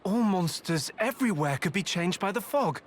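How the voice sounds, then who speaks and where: A man's voice: sits high, lively intonation, moderate pace, a little hiss or hum. A man playing an earnest, thoughtful young hero in a video game, plain-spoken and determined. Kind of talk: video game cutscene acting.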